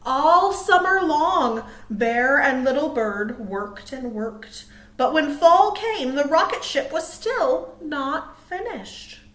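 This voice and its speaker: A woman reading aloud to small children as a storytime host, cheerful and expressive. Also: sits high, slow pace. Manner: cheerful, expressive, asks listeners questions. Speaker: children's librarian hosting storytime. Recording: roomy, echoey sound.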